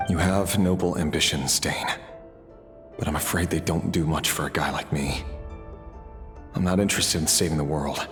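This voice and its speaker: An actor voicing a cynical, self-serving man in a video game, speaking in a measured, wry way. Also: rumbling low, fairly even intonation. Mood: wry, self-interested. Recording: game music faintly behind.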